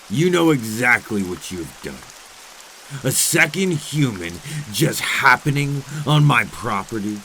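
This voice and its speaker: A man playing a menacing, centuries-old male vampire in an ASMR roleplay drama, speaking in a theatrical, condescending, deliberate way with old-fashioned phrasing. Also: fairly low pitch, a bit bright, a little hiss or hum, heavily acted. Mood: mocking, suspicious, then angry, accusing.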